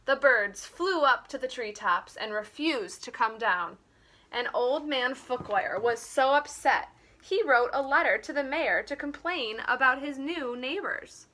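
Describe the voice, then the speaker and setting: Female children's story reader, teacherly: slow, deliberate, highly varied intonation. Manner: animated, deliberate. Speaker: teacher reading a picture book aloud. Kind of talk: expressive children's story reading.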